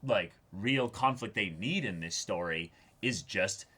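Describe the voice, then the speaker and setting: A man co-hosting a reaction show, talking casually in an earnest, reflective way with halting, repeated words. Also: lowish voice, expressive, pushed and bright, slightly rough. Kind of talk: casual discussion of an anime episode.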